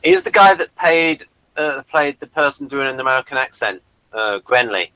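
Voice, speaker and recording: A man hosting a casual review chat, talking in a halting way and repeating words as he goes. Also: mid-range voice, animated, sharp tone, rough recording. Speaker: host of a TV review show.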